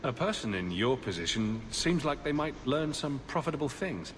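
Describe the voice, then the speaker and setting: An actor playing a composed, wry adventurer hero in a video game, speaking in a measured way. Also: male voice, medium pitch, sing-song, reverberant, slight background noise, lightly acted. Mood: wry, familiar.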